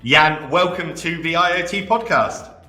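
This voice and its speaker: A man's voice: fairly high pitch, unhurried, pushed and bright, reverberant, faint music behind. A man hosting a podcast, speaking as presenter and interviewer. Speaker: podcast host. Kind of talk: scripted podcast intro and interview.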